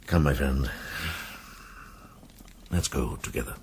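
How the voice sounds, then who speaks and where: A worldly old monk with an extremely low, creaky voice. He is a gruff former soldier with a tender streak, reflective and a little halting, in a radio play. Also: a little mellow, slight background noise, exaggerated voice. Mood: hesitant, probing. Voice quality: gravelly. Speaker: lead actor in a radio drama. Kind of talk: radio drama acting.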